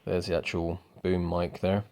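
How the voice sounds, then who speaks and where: Male vlogger, young, low flat delivery, easygoing, um-heavy. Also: moderate pace, vocal fry. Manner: relaxed, rambling, many ums. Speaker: motorcycle vlogger. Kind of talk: casual product review. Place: indoors at home.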